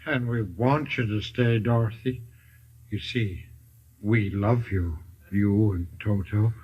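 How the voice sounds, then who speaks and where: Gentle folksy pal, husky medium-pitched voice, vintage radio acting, slightly muffled recording. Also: male voice, takes it slowly. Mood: tender. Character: kindly, devoted male friend, folksy and gentle. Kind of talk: 1950s radio drama acting. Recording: dull old 1950 radio recording.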